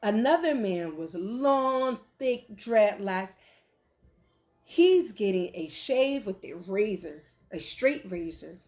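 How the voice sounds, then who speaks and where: A woman reading a picture book aloud like a teacher, with animated, deliberate delivery and questions for young listeners. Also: mid-range voice. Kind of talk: expressive children's read-aloud with questions to listeners.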